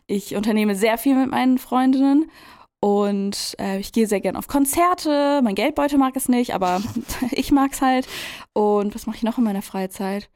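A woman's voice: fairly high pitch, normal speed, a little mellow. A woman in her twenties whose first language is English, co-hosting a podcast in casual chat. She is bubbly and laughs a lot. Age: 20s. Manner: bubbly, laughs a lot, short agreeing replies. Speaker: podcast co-host.

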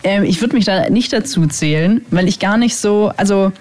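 Eine Frau in ihren Zwanzigern, Moderatorin eines Radio-Podcasts, die locker und gut gelaunt plaudert. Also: female voice, mid-range voice, normal speed, a little mellow. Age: 20s. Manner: upbeat, laughs often, chatty. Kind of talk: casual chat.

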